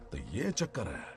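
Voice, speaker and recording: A man's voice: mid-range voice, exaggerated voice. A man voicing a scornful gang boss in a dubbed action film, drawling and threatening.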